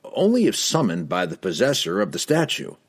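A man's voice: fairly low pitch, huge pitch swings, fairly quick, vocal fry, breathy edge, heavily acted. A man voicing a frightened wizard underling in a fantasy audiobook, dumbfounded and nervous, blurting out gasped replies. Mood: fearful. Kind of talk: fantasy audiobook character acting.

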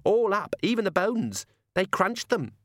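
Storybook child: male narrator as small girl, high-pitched, morbidly pleased, matter-of-fact, dry recording. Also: sing-song, unhurried, dry recording. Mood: gleeful approval.

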